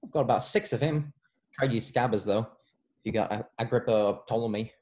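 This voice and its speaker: A frank young wizard boy, read by a grown man in a script table read. The voice is soft-edged and mellow at a middling pitch, casual and matter-of-fact. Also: a little fast, natural voice. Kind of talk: online table read of a screenplay.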